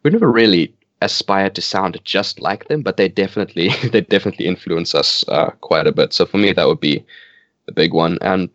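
A man's voice: sits low, fairly quick, dry recording, lo-fi sound. A man in his twenties from South Africa, whose first language is Afrikaans, chatting casually in an interview with frequent laughs. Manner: relaxed, laughs often, hesitant restarts. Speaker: band bassist.

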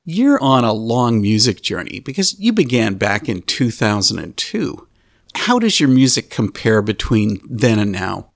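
A male radio host giving a scripted show introduction and asking interview questions in a measured, articulate way. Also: lowish voice, very animated, vocal fry, no room sound, studio quality.

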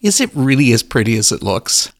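A man interviewing a musician, asking measured, prepared questions. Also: mid-range voice, sing-song.

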